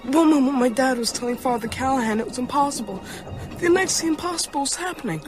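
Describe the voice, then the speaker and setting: A man's voice: extremely high pitch, fast. Haunted, defiant boy, music behind. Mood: shaken, haunted. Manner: earnest, haunted storytelling. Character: traumatised, defiant boy recounting horror. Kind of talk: radio horror drama acting.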